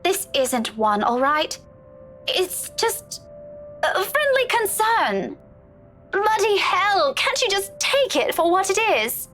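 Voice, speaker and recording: A woman's voice: extremely high pitch, sing-song, slow, a bit bright, soft background music, exaggerated voice. A woman voicing a blunt, teasing friend in a visual novel game, exasperated but caring. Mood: exasperated concern. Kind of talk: dramatic visual novel voice acting.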